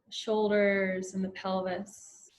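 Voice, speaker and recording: A woman leading a guided meditation in a soothing, unhurried way with long pauses between phrases. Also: mid-range voice, flat delivery.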